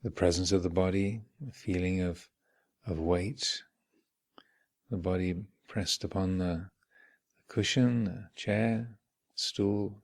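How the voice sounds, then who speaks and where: A man leading a guided meditation as a teacher, speaking in measured, contemplative short phrases with long reflective pauses. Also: sits low, steady tone, creaky, darkish tone. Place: meditation retreat hall.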